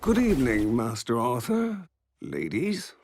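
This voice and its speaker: An actor playing a deferential, discreet manservant in a game cutscene, formal and dryly polite. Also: male voice, medium pitch, huge pitch swings, a little slow. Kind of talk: video game cutscene acting.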